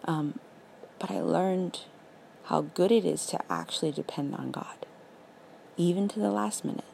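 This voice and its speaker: A raspy young woman hosting a casual faith podcast, earnest and encouraging, full of "like" and "literally". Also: lowish voice, measured pace, a little mellow, slight background noise. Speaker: podcast host.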